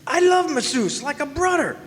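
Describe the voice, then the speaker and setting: A man playing an excitable, defensive personal trainer in a comic radio play, protesting in exclamations. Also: very high-pitched, normal speed. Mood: indignant, defensive. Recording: live stage, long hall reverb.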